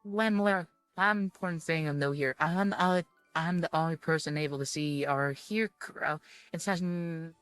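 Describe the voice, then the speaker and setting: A low-pitched woman reads story text from a visual novel aloud as a game streamer. Her delivery is informal and halting, tripping over words as she goes, with music playing behind her. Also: a bit bright.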